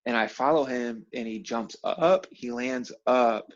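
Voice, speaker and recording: A man's voice: mid-range voice, unhurried. A man in a casual chat, telling anecdotes in slangy, profane language and laughing easily as he goes. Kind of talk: casual chat, animated storytelling. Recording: dull lo-fi call audio.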